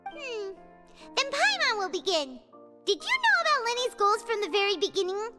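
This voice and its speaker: A woman playing a small, pert, childlike creature companion with a squeaky voice in an anime game. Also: very animated, moderate pace, over loud music. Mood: demanding, curious. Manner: pert, speaks of self in third person.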